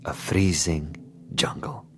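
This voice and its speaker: A man's voice: sits low, animated, slow, whispered. A man playing a cultured, menacing commissar and assassin in a drama, speaking with theatrical mock courtesy and relishing his words. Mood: silky, probing. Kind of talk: dramatic TV-style dialogue acting.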